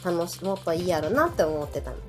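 A woman's voice: fairly low pitch, sing-song, fast, a little mellow. A woman with a Kansai accent chatting casually as a co-host of a live podcast. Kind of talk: casual chat, reacting to listener comments. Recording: background music under the talk. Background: music.

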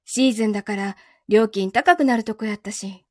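A woman acting in a Japanese voice drama as a calm, understanding, quietly lonely girlfriend, speaking gently with a Kansai accent. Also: mid-range voice, brisk, dry recording, studio quality, close to an everyday voice. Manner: composed, gentle, reassuring.

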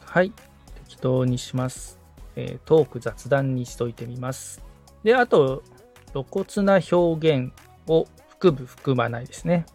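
Man, creaky voice, medium pitch, agreeable, casual walkthrough, music behind. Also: lively intonation, unhurried, a little mellow. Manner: agreeable, reads out each step. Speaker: assistant co-host following instructions.

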